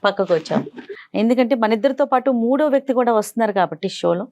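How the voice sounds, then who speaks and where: A woman's voice: on the high side, animated, racing, darkish tone, no room sound. A woman hosting a talk show, presenting and putting questions to guests. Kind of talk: presenting and interviewing.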